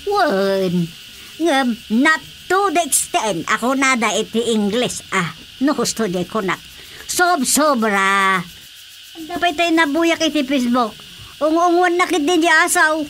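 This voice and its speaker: A woman's voice: high-pitched, lively intonation, unhurried, a bit bright, a little hiss or hum. An actress with an Ilocano accent playing a chatty, disapproving mother who exclaims freely in a radio comedy drama. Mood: gossipy. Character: gossipy, disapproving mother of a married daughter.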